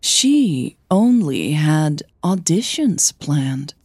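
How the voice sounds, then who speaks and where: Husky woman podcast host telling stories, slow n mellow, bit gravelly. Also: deep voice, sing-song, dry recording, studio quality. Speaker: podcast host and audio fiction narrator. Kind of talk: podcast announcements and story narration.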